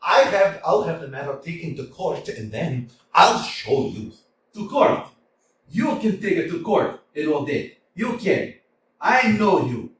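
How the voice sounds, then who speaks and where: A man's voice: a little high, sing-song, a little slow, a bit bright. A man playing an irritable older landowner and father in a staged reading, scolding and blustering. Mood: angry. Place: small theater stage. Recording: echoey hall, mic far off.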